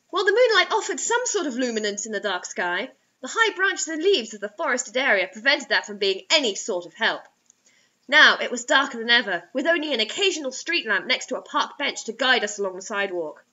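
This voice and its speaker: Young female story reader, theatrical and mocking, bright animated delivery, slightly dull webcam audio. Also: fairly high pitch, brisk. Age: young adult. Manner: expressive, theatrical. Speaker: host reading bad fiction aloud. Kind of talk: dramatic mocking story reading. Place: small indoor room.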